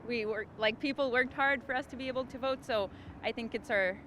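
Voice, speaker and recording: A woman's voice: fairly high pitch, a little fast, a bit bright. A woman whose first language is French, giving a casual opinion in a street interview. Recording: faint outdoor street noise.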